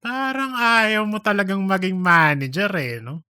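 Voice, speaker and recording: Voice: mid-range voice, measured pace, sharp tone, smooth, no room sound, clean studio sound. A performer acting out chatty office colleagues in a comedic audio drama, with an exaggerated, teasing conversational manner. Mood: boastful.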